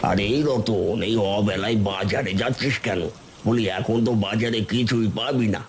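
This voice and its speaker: A man's voice: lowish voice, moderate pace, background noise, lightly acted. A man voicing a gloomy, folksy older village uncle who laments, in a cartoon. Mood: concerned, lamenting. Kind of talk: cartoon voice acting.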